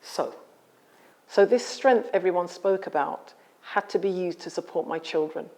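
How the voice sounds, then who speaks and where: A woman author speaking in a measured, articulate and solemn way about personal experience. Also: lowish voice, darkish tone, poor audio. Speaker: author and guest. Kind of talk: reading a memoir aloud, then interview talk.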